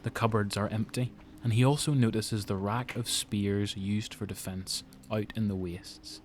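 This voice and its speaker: A man's voice: fairly low pitch, fairly even intonation, unhurried. Northern Irish male narrator over music. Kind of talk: scripted dramatic story narration.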